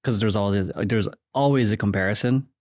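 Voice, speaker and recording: Hesitant, low-voiced man reflecting. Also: fairly quick, darkish tone, dry recording, clean studio sound. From Philippines. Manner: hesitant, restarts often, thinks aloud. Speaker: podcast guest. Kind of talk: casual reflective interview.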